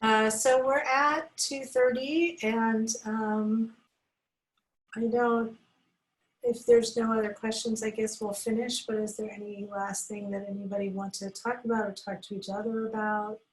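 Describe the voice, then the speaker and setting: A woman's voice: fairly high pitch, flat delivery, measured pace, rough recording. A woman moderating an online panel, giving informal closing remarks and thanks.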